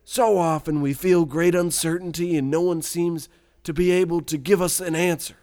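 A man playing a folksy, naively smug country boy who tells his story deadpan, with a rural American accent, in a radio parody. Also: on the high side, normal speed, natural voice. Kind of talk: scripted first-person storytelling, radio parody.

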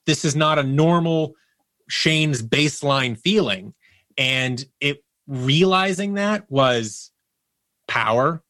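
A man's voice: fairly high pitch, animated, takes it slowly, bright, forceful tone, dry recording, clean studio sound. A man speaking as an interview guest, telling personal stories candidly and reflectively, often voicing his inner thoughts as quotes. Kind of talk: candid personal storytelling in conversation.

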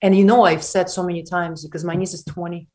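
A woman from Ukraine talks through a conversational interview in a low voice. She speaks haltingly, restarting often, then presses her points with emphasis. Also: steady tone, warm tone. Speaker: interview guest.

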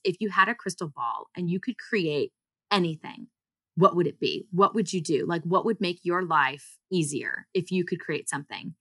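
Very low-pitched, bright-voiced woman. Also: dry recording, studio quality. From United States and Singapore. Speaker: interview guest, startup founder. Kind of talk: conversational interview answers.

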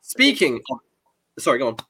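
Bright-voiced chatty man. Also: medium pitch, sing-song, moderate pace, breathy edge, dry recording, studio quality. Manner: chatty, rambling, reads live comments. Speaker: podcast host. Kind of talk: casual chat about films.

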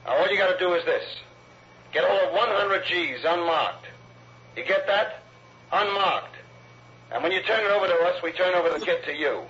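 An actor playing a tough, menacing kidnapper in an old radio crime drama, heard as a deliberate, threatening taped message. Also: male voice, high voice, bright, forceful tone. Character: menacing, slangy male kidnapper giving ransom orders. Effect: played back through a tape machine. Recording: muffled, noisy taped message on vintage radio.

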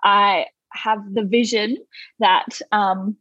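A woman's voice: mid-range voice, steady tone, takes it slowly, a bit bright, no echo, lo-fi sound. A woman in her twenties with an Australian accent, a podcast guest chatting casually with many fillers and restarts. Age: 20s. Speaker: guest, toy company founder.

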